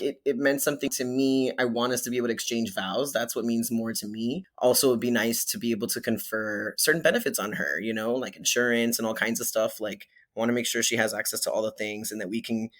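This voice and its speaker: A man's voice: mid-range voice, a bit bright. Candid, chatty young man. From Miami, Florida. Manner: chatty, candid, laughs often, many fillers and restarts. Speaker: podcast guest. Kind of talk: casual conversational interview.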